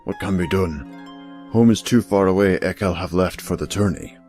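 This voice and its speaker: A worthy, grave father from a medieval tale, played by a man, speaks solemn archaic English. The voice is deep and dark, set over faint storybook music. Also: normal speed, natural voice. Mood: concerned. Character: worthy, grave middle-aged father, Sir Ector. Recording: music under the voice.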